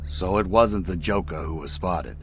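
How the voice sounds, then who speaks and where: A man playing a sober, skeptical police commissioner in a comic dub, asking brisk questions. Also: deep voice, over music. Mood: wary, serious.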